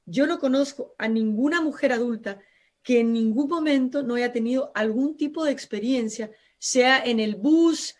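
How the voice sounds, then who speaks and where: A woman with a Brazilian accent, a native Portuguese speaker, talks through a live radio interview as the invited expert. Her voice sits a little high, and she explains earnestly, often restarting her phrases and padding them with small fillers. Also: moderate pace, a little mellow. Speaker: guest expert.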